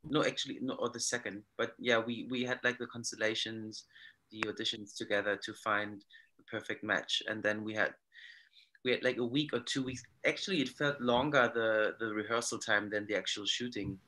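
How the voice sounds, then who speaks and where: A man's voice: on the low side, fairly even intonation, normal speed, sharp tone, poor audio. A man, giving casual interview answers on a video call. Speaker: actor, panel guest. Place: room at home on a video call. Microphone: computer mic on video call.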